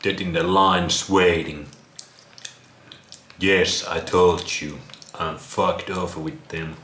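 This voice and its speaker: A man with a Finnish accent talks slowly in a fairly low voice, like a vlogger reacting to things. He is casual, sarcastic and crude, with a mocking manner. The room adds clear echo. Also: fairly even intonation, pushed and bright. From Finland. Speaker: vlog host. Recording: roomy echo, some background noise.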